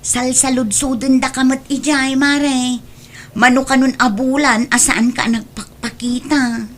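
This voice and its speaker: A woman's voice: sits high, flat delivery, measured pace. A woman playing a frank, questioning friend who sells goods and chases debts, speaking with an Ilocano accent in a radio-style comedy drama. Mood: nagging, indignant.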